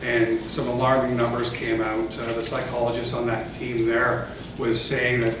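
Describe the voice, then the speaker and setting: A Canadian man gives a talk in a large conference room, his even, flat delivery full of ums and restarts. He sounds set back from the mic, and the hall's echo and steady noise surround him. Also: medium pitch, far from the mic. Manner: hesitant, many fillers and restarts. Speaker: presenter. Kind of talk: conference presentation with audience Q&A. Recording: echoey hall, voice from off-mic, noisy.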